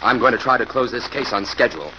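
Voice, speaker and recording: A man's voice: medium pitch, steady tone, quick pace, close to an everyday voice. An actor playing a determined, serious male crime-fighting hero in a 1940s radio drama. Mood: grim, determined. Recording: muffled, noisy old radio recording.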